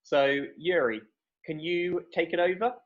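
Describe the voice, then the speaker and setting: Man, host, informal, friendly, slightly high voice, dull video-call sound. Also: unhurried. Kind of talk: casual online event hosting.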